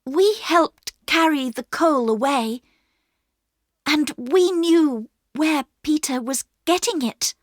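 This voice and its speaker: A woman's voice: high voice, slow, no room sound, studio quality, pitched above the performer's own voice. A woman voicing an honest, protective young girl who speaks earnestly.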